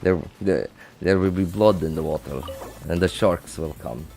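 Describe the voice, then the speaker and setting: Low-pitched man chatting on a game stream. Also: moderate pace, dark tone, soft background music. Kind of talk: casual live-stream chat, answering viewers' game questions.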